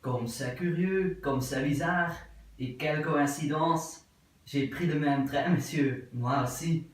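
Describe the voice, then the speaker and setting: A man playing a prim, politely puzzled middle-aged English wife in an absurd stage play, with formal, measured, repetitive speech. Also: on the high side. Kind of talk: theatre acting of an absurd play. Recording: very echoey room, voice from far off.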